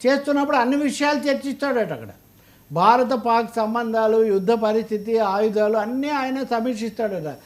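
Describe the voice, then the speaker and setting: High-pitched, emphatic Telugu man. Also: brisk. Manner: emphatic, argumentative, rhetorical questioning. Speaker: political analyst and commentator. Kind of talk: political commentary in an interview.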